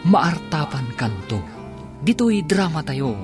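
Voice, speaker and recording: A man's voice: fairly high pitch, big pitch swings, takes it slowly. A man narrating a radio drama in a dramatic, scripted style. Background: music with guitar.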